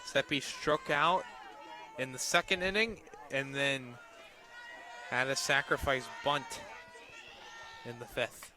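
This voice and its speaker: A man doing live radio play-by-play of a softball game as the announcer. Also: medium pitch, takes it slowly, pauses often, crowd noise. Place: outdoors at a ballfield, on a platform by tables. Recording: outdoor ballfield noise behind the voice. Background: loud outdoor ambience.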